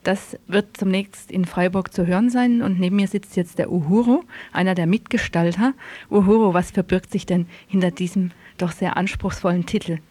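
A woman's voice: lowish voice, fairly even intonation, normal speed, dark tone, dry recording. A woman hosting on radio, presenting and putting questions to a studio guest. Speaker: radio host, interviewer.

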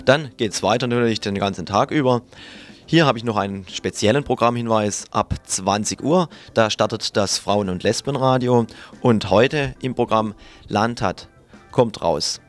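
Male radio host for programme previews, fairly low register, music bed underneath. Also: normal speed. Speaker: radio presenter. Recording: music behind the voice.

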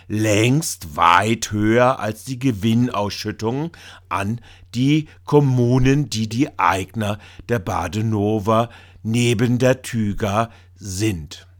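Slow-paced man reading news commentary. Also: mid-range voice. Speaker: reporter and commentator. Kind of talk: scripted critical news commentary.

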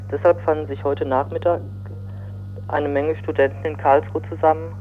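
A thin, humming telephone line carries a man's fairly high voice. He reads a prepared news report as a radio correspondent, halting and tripping over words here and there. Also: a little hiss or hum. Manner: halting reading with stumbles. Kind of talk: scripted news report, read aloud.

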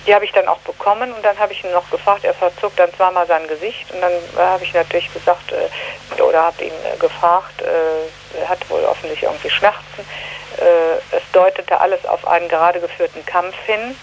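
A woman's voice: fairly low pitch, fairly even intonation, brisk. Woman telling what she witnessed, earnest, stops and searches for words, hummy noisy interview tape. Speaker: human rights delegation member giving eyewitness account. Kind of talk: spontaneous eyewitness account.

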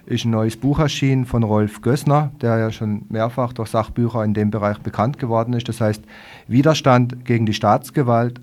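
A man's voice: mid-range voice, darkish tone. A man hosting a live radio show in a matter-of-fact, informal way. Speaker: radio host.

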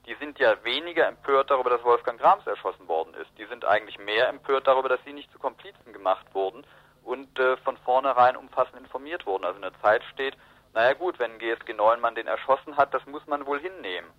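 A man's voice: mid-range voice, fast talker. A man giving analytical, discursive political commentary as a guest in a radio interview. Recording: roomy, muffled radio interview.

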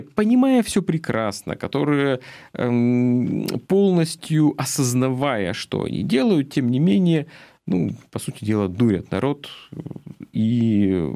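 A man from Russia delivering political commentary in an earnest, explanatory way, with a halting delivery and frequent pauses. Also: medium pitch, warm tone. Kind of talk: spoken political commentary, semi-prepared talk.

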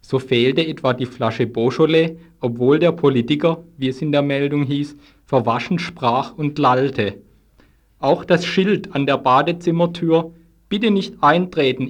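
Deadpan male radio commentator. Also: fairly high pitch, unhurried. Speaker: radio news magazine presenter. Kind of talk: scripted satirical news commentary.

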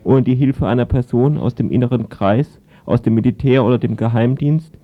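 A mid-pitched man's voice, narrowed to a phone-like band, interprets interview questions. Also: normal speed. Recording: narrow phone-like broadcast sound.

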